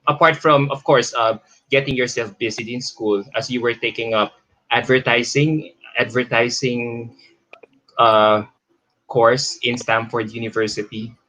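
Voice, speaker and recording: A man hosting a conversational interview, framing long questions haltingly and repeating his phrases as he goes. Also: mid-range voice, steady tone, sharp tone. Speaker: live show host, interviewer. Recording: clearly noisy background with clicks.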